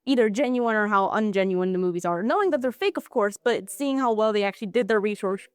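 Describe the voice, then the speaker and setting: A teenage girl hosting a student podcast, chatting casually with lots of filler words and lively opinions. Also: mid-range voice, quick pace, clean tone, no room sound, clean studio sound. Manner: chatty, many filler likes. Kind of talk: casual chat.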